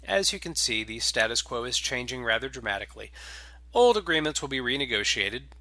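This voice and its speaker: A man's voice: medium pitch, big pitch swings, sharp tone, no echo. Man playing a cool, commanding underworld lieutenant. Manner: formal, measured, coolly authoritative. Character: sharp-featured man, smooth, commanding new crime-boss lieutenant.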